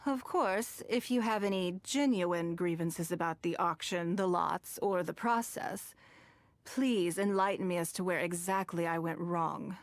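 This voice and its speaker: A poised, wealthy businesswoman from a video game, with a lowish voice. She is shrewd and coolly commanding, choosing formal words at a measured pace. The sound is close and dry. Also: dry recording. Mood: coolly confrontational.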